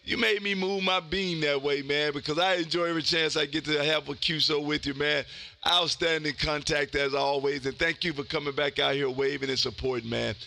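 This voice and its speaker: A man in his fifties with a loud, exuberant, folksy manner, chatting casually as he hosts amateur radio contacts. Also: fairly high pitch, fairly even intonation, brisk, vocal fry. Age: 50s. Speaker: amateur radio operator hosting a live stream. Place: indoor home radio room.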